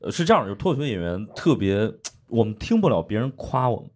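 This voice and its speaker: A man's voice: on the low side, very animated, fast, darkish tone. A man hosting a casual panel chat, with a joking, teasing way of talking. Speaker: podcast host.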